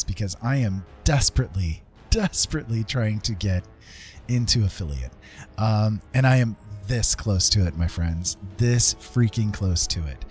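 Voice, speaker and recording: A middle-aged man with a fairly low voice talks casually on a livestream, with music clearly playing behind him. He rambles in a chatty way, often hesitating as he addresses his viewers. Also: a little mellow. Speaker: livestreamer and digital artist.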